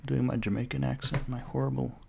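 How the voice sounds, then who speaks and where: A man casually talking himself through a software tutorial, rambling and joking, with the occasional curse. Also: mid-range voice, little pitch movement, brisk, gravelly, slight background noise. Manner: rambling, jokey self-talk with mild swearing. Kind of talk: casual screen-recorded tutorial.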